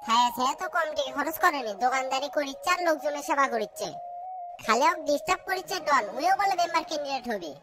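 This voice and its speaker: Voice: high-pitched, lively intonation, fast talker, background noise. A performer voicing scheming, boastful small-town political men in a cartoon comedy, blustering colloquially. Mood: opinionated.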